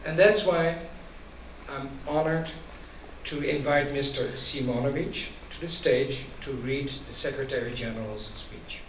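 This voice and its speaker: Male event speaker, middle pitch, deliberate and emphatic introduction, distant microphone in a reverberant, noisy venue. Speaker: advocacy director introducing a speaker. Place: large indoor event venue with stage. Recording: echoey hall from far off, noisy.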